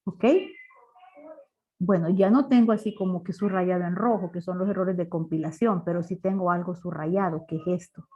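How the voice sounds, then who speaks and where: A woman's voice: on the low side, steady tone, moderate pace, breathy edge. A woman teaching a programming class step by step, asking questions as she explains. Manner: didactic, asks rhetorical questions. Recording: dull lo-fi online class audio.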